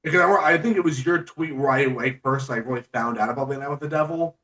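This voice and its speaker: A man's medium-pitched voice, picked up at some distance from the mic. He hosts a casual interview chat. His manner is friendly and eager. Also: fast talker, a bit bright. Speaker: podcast host and film reviewer.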